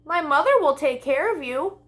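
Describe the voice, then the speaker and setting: A woman's voice: high voice, normal speed, a bit bright, pitched above the performer's own voice. A woman voicing a young female lamb in a children's storybook, curious and clingy, with an earnest, pleading manner.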